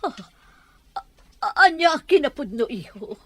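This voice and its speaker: A woman's voice: high-pitched, big pitch swings, unhurried, sharp tone, no echo, exaggerated voice. A woman with an Ilocano accent playing an anguished, middle-aged battered wife and fearful, pleading mother in an audio drama. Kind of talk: Ilocano radio-style drama acting.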